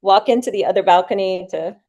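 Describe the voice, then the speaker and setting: Chatty woman from New Jersey, mellow voice. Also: medium pitch, highs cut off. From New Jersey, USA. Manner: chatty, halting, laughs easily. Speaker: interview guest and expat homeowner. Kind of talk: casual home tour and interview chat.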